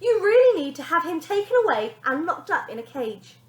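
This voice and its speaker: A woman voicing a bossy, spoiled young girl who shouts her demands indignantly, in an echoey room. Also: extremely high pitch, sing-song, brisk, highs cut off, pitched above the performer's own voice.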